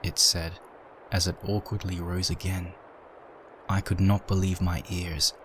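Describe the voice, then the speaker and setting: A man reading a dark story aloud in a measured, dramatic narration. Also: low voice, little pitch movement, a little hiss or hum, poor audio.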